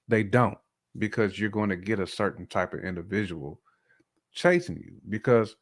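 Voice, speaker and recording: A man's voice: fairly low pitch, a bit bright. An American man in casual podcast conversation, talking in a colloquial, slangy way with emphatic repetition.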